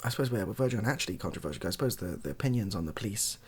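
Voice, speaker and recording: British guy talking softly, husky voice, rambles and hedges his opinions, pitch wanders around a lot. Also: lively intonation, very fast. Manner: soft-spoken, hesitant and rambling. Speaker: online video creator. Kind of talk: softly spoken improvised opinion monologue.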